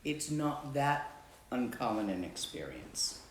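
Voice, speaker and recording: A woman teaching meditation and answering a student's question in a slow, measured way. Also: very deep voice, big pitch swings, echoey room, slight background noise. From Latvian background. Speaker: Dzogchen teacher.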